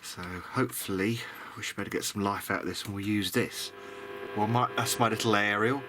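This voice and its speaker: A man's voice: on the low side, fairly even intonation, a little fast, background noise. A British man giving a casual, muttering commentary as he works on a repair, mildly grumbling and self-deprecating. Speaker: hobbyist electronics video diarist.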